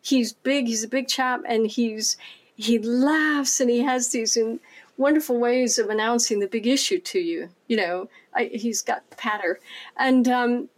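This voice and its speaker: A woman from the United States, a singer and performer, talking enthusiastically with many fillers and restarts. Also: on the high side. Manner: warm, enthusiastic, halting with fillers. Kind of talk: casual interview.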